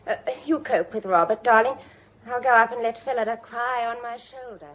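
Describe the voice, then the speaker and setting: A woman's voice: fairly high pitch, normal speed, highs cut off, close to an everyday voice. An actress playing a spirited, composed young British lady in a radio drama, polite and well-bred. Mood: composed, defensive.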